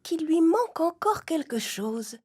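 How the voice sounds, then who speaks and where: A woman playing a doting, excitable old lady baker in a children's cartoon, fond and given to exclaiming. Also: very high-pitched, a little slow, heavily acted. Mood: fond delight.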